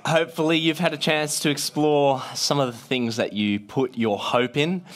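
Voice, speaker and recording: A man with a gravelly voice from being a bit sick, leading a casual talk to a group with many "uh" fillers. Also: on the high side, normal speed. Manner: many "uh" fillers, lively rising emphasis.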